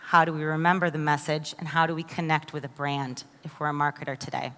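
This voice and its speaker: American woman doing a keynote, lowish voice, long echo from a big hall. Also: little pitch movement, quick pace, fairly bright tone. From United States. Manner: enthusiastic, addresses the audience directly with questions. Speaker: conference speaker. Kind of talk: keynote presentation. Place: large conference hall.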